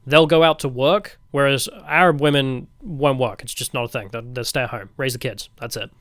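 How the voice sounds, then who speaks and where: A man telling travel stories as a vlogger in a casual, wry, deadpan way. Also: mid-range voice, normal speed, no room sound, studio quality. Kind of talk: casual storytelling narration.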